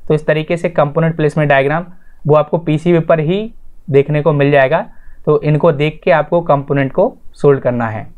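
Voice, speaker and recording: A man's voice: a little high, fairly even intonation, fairly quick, phone-quality sound. Man explaining like a tutor. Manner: instructional, addresses viewers as friends, repeats points. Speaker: tutorial host. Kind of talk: explanatory voice-over lesson, informal.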